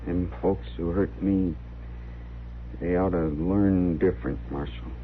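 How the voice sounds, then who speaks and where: An actor playing a simple, wounded, brooding young man with halting, folksy speech in a western radio drama. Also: deep voice, steady tone. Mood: hurt, brooding. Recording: muffled, hissy old radio recording.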